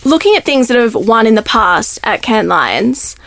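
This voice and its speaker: A woman hosting a podcast interview, friendly and quick to laugh between questions. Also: fairly high pitch, very animated, gravelly, fairly bright tone, dry recording. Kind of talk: casual interview chat.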